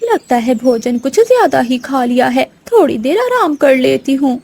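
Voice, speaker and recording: A woman plays a very high-voiced mother crow from a children's cartoon. She is lazy and whiny, and pleads in a plaintive, dramatic manner. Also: very animated, normal speed, darkish tone, husky, exaggerated voice. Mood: pleased. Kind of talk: Hindi children's cartoon voice acting.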